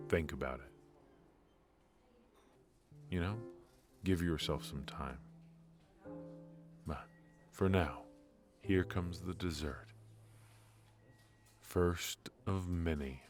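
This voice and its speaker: Man acting a very deep-voiced, slow-talking mafia boss. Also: heavily acted. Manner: slow, halting, low-key intimate delivery. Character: brilliant ruthless male mafia boss, softened, wry and tender. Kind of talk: ASMR roleplay acting. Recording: music behind the voice.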